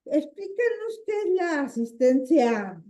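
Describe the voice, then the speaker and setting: A woman with a Mexican accent hosting an informal interview in a halting, unhurried way. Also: sits high, very animated, dark tone. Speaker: interviewer, channel host.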